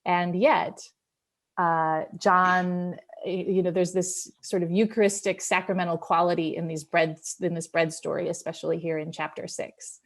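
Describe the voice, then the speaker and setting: Lower-voiced woman leading a Bible study. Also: steady tone, moderate pace. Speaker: group leader and pastor of a church Bible study. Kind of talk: casual Bible study discussion.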